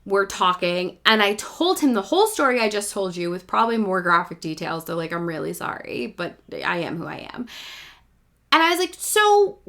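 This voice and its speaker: Bright, forceful woman in her thirties. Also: mid-range voice, normal speed. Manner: candid, animated, self-mocking. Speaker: podcast host. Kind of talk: casual personal storytelling.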